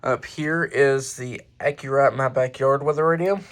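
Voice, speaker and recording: A man's voice: medium pitch, steady tone, noisy background. Offhand man showing off his collection. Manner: halting, offhand, self-correcting. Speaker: hobbyist presenter showing a radio collection. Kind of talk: casual unscripted show-and-tell.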